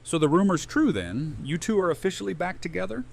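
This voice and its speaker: A man's voice: sits high, big pitch swings, a little fast, vocal fry, full character voice. A man voice-acting a good-humoured, practical father and survivor in an audio drama, easygoing and matter-of-fact. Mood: teasing.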